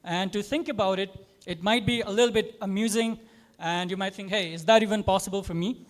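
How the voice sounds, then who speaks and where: A man's voice: sits high, steady tone, brisk. A man from Nepal speaking on a panel, encouraging students in an earnest, motivating way with rhetorical questions.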